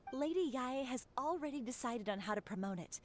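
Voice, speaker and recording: A woman's voice: sits high, expressive, fairly quick. A woman voicing a composed young female messenger in a video game, formal, courteous and explanatory. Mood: polite, businesslike.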